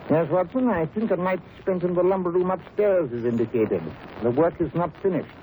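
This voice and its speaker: A man acts a brisk, self-assured British detective in a 1930s radio play. His voice is mellow and a touch high, his delivery clipped and wry. A faint hiss lies over the old recording. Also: close to an everyday voice, rough recording. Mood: probing, assured. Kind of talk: 1930s radio drama acting.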